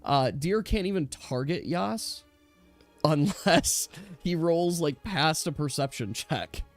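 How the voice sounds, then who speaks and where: A man running a tabletop game, explaining rules casually in a chatty, halting way. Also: on the high side, expressive, soft background music. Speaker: game master.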